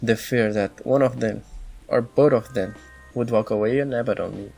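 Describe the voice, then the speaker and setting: A man playing a reflective, wistful elf groom-to-be who confides in measured visual novel dialogue. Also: medium pitch, creaky, a little mellow, slightly rough. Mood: nostalgic, confiding. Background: background music.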